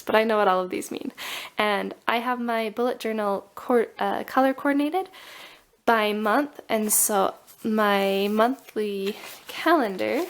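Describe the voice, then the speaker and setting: A woman with a cold talks at a middle pitch in a relaxed home walkthrough. Her voice carries some gravelly fry, and she stays chatty and a bit nerdy, thinking aloud as she goes. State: has a cold. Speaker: booktuber. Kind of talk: casual walkthrough vlog. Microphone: overhead camera on table, hands only.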